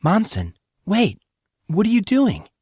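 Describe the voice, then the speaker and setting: A man's voice: sits high, huge pitch swings, slow, a little mellow, far from the mic, pitched above the performer's own voice, exaggerated voice. A man voicing a worried, caring teenage girl in an audiobook. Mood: alarmed. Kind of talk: audiobook character acting.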